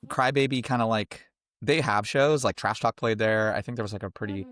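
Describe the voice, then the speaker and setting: A man's voice: fairly low pitch, fairly quick, fairly bright tone, dry recording, clean studio sound. A young man from a band, a guest on a podcast, talking in a rambling, laid-back way full of fillers. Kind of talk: casual interview chat.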